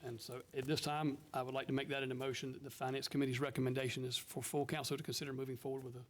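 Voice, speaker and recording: Council member, man, even and methodical delivery. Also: medium pitch, very fast. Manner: methodical, explains step by step. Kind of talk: committee report at a council meeting.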